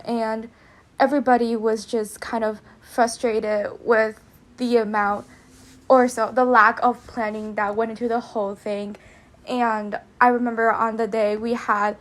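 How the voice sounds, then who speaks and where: High-voiced American teenage girl, measured. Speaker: student guest. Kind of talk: casual panel discussion.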